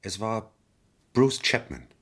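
An actor playing a cornered, evasive murder suspect in a seventies radio crime drama, defensive, halting and pleading. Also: male voice, fairly low pitch, sing-song, fairly bright tone, slightly rough, full character voice. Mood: pleading, uneasy. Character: cornered, evasive male murder suspect confessing to his lawyer. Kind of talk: 1970s German radio crime drama acting.

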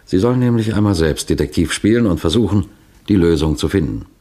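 A low-pitched male police detective, seasoned and assured. He addresses his listeners formally and methodically, like a lecturer in a 1960s German radio play. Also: lively intonation, a little fast, dark tone. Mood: assured, lecturing.

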